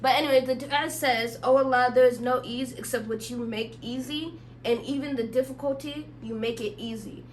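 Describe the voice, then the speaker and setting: A woman's voice: high-pitched, steady tone, moderate pace, hardly any pauses. A woman podcast co-host in casual, joking chat, a little sick with a tickle in her throat. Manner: laid-back, joking, slangy. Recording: distant mic, faint hum.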